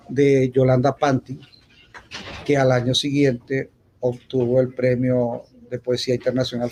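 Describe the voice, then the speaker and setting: A Venezuelan man giving an unscripted public talk, reminiscing in a halting way and restating points with emphasis. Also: medium pitch, a little hiss or hum, low-quality recording. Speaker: poet speaking at a poetry festival.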